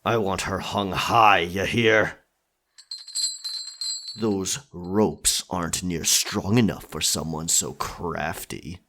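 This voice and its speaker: A man's voice: deep voice, fairly even intonation, slow, fairly bright tone, a little hiss or hum. A man playing a sadistic pirate captain in an ASMR roleplay, taunting in a menacing, mocking drawl full of pirate slang. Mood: commanding.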